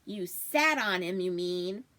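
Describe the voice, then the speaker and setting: A woman as sulky storybook boy, bossy older brother, scornful, complaining, highly animated intonation. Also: slow pace, full character voice.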